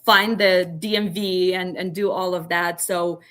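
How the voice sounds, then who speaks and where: A woman's voice: on the low side, fairly even intonation, measured pace, fairly bright tone. A woman in her early forties from Ukraine, with a Ukrainian accent, casually telling her own story as a podcast guest and acting out remembered remarks as she goes. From western Ukraine. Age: early 40s.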